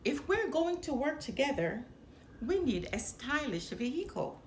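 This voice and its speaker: A woman's voice: sits high, sing-song, a little slow, voice pushed up. A woman voicing a small, blunt, skeptical male animal hustler in a storybook. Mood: businesslike. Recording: roomy echo, some background noise.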